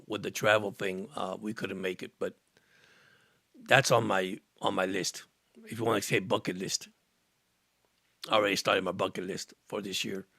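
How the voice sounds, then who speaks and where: Gravelly-voiced man near sixty, rambling. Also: fairly low pitch, a bit bright, no echo, clean studio sound. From Cuba, raised in Miami. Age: about 60. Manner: rambling, repeats words and restarts, earnest. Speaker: live stream sports host. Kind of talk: casual live stream chat, reading viewer comments. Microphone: studio microphone.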